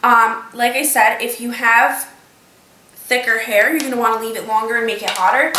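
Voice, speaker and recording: Young woman vlogger, slightly nasal from a cold, chatty step-by-step tutorial delivery, higher voice, recorded from a distance. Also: fairly even intonation, normal speed, sharp tone. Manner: chatty, explains step by step with many fillers. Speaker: beauty vlogger. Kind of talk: casual talk-through tutorial. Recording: echoey room, voice a bit off-mic.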